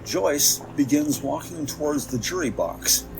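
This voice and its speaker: A man's voice: a little high, expressive, measured pace, vocal fry, noisy background. A man narrating an audio drama from a script in a descriptive, theatrical storytelling manner. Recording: music behind the voice.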